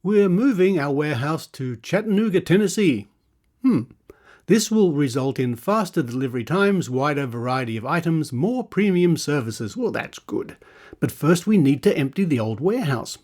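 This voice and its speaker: A man's voice: on the high side, lively intonation, normal speed, darkish tone. A man hosting a podcast, reading out adverts and adding dry, sarcastic asides. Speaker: podcast host.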